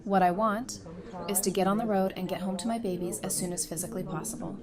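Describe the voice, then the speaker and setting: A woman's voice: mid-range voice, steady tone, quick pace, a crowd in the background, lightly acted. A woman playing a grieving, devoted partner and mother in an audio drama, on a noisy recording. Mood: determined. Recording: noisy home recording, background hiss.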